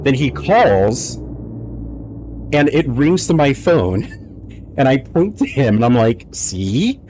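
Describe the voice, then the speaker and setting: A man's voice: mid-range voice, takes it slowly, slight background noise. A young man streaming a game and telling rambling stories in casual chat, talking into a headset mic at home. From United States. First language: English. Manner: rambling, anecdotal, animated storytelling. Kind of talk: casual live-stream chat while gaming.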